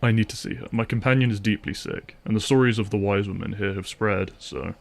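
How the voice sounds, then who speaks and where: A man plays a guarded monster hunter in a fantasy roleplay. His voice is fairly low with a heavy creak. He speaks in a terse, dry manner. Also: fairly quick. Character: wry, guarded male vampire hunter, gruff protective ally. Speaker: voice actor playing a vampire hunter. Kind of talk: fantasy audio roleplay acting.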